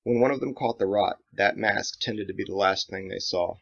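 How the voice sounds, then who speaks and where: A man's voice: fairly low pitch, moderate pace, gravelly, fairly bright tone. A man reading his own dramatic action novel as scripted audiobook narration, in a slightly dull recording.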